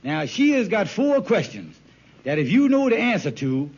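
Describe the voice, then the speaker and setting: A high-pitched, husky con man from a vintage radio sitcom. He wheedles and persuades in folksy, ungrammatical talk, always working a scheme. The old recording is muffled. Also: very animated, moderate pace, a little mellow, full character voice. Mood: sly coaching.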